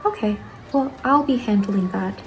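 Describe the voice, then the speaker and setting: A woman playing an eager, apologetic young saleswoman in an ASMR roleplay, speaking softly, politely and a little hesitantly. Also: medium pitch, moderate pace, a little hiss or hum, close to an everyday voice, poor audio. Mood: apologetic, welcoming. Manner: soft-spoken, hesitant, polite customer-service talk. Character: Angeline, eager apologetic young saleswoman at macabre pumpkin stall.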